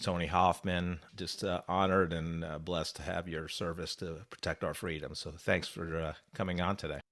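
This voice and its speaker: A man hosting a podcast interview, eager and halting, with lots of fillers. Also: low voice, fairly bright tone. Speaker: podcast host.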